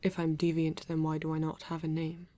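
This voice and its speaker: A woman with a British accent playing a formal, reserved male android detective in an ASMR roleplay, speaking stiffly and deliberately with halting pauses. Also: sits low, completely flat delivery, dark tone, close to an everyday voice. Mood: guarded, halting. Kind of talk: ASMR roleplay addressed to the listener.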